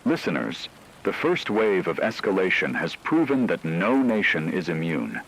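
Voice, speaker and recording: Grave radio war newsreader, male performer, low-pitched, solemn and measured, over rain and rumbling background noise. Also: very animated, a bit bright, heavily acted, low-quality recording. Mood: grave, urgent. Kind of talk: scripted breaking news bulletin.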